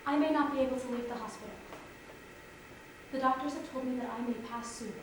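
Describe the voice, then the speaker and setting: A woman's voice: sits high, a little fast, natural voice. A woman playing a tender, earnest dying mother, a scientist in her forties, in a staged musical reading. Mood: tender, reassuring. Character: tender dying mother, a geneticist, aged forty-five. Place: theatre stage. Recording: very echoey theatre with background noise.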